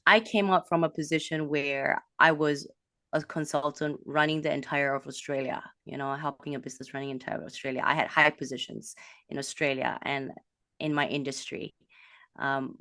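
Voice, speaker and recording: A woman from a Malaysian background, a business coach, talking in an animated way with quick bursts and restarts. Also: low-pitched, no echo, studio quality. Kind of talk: casual interview talk.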